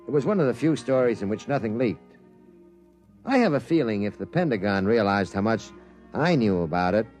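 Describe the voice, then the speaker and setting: Man acting a lively, impatient radio-play reporter. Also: on the low side, lightly acted. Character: curious, impatient newspaper reporter, skeptical and pressing. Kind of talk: 1950s radio drama acting and first-person narration.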